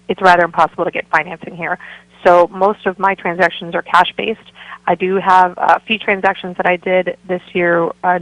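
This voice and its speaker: A woman's voice: lowish voice, fairly bright tone, no room sound, poor audio. A woman working as a real estate broker, answering interview questions in a friendly, informative way as a guest. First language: bilingual Spanish and English. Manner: friendly, informative, laughs easily. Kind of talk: conversational radio interview answers.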